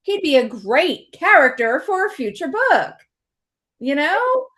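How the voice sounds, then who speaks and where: Chatty woman podcast host, higher voice. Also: sing-song, measured pace, a bit bright. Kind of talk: casual conversational interview.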